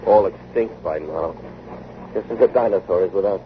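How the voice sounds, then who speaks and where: An actor playing a confident but excitable gentleman inventor, with a clipped, urgent, theatrical delivery from vintage radio drama. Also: low-pitched, moderate pace, lightly acted. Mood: grave, knowing. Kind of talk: 1940s-50s radio drama acting. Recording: dull, noisy vintage radio recording.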